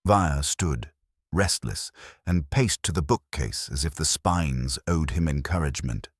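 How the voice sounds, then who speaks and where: A man's voice: very deep voice, expressive, a little slow, breathy edge, no echo, clean studio sound. A man narrating a first-person detective story from a script. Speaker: audiobook narrator.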